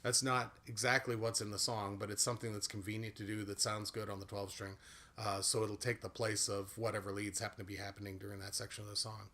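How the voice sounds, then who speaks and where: A man's voice: lowish voice, level tone, quick pace. A man giving a casual guitar and singing lesson with a big voice, explaining at an easy pace with frequent "uh" fillers. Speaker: guitar and voice teacher. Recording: guitar playing loudly behind the voice.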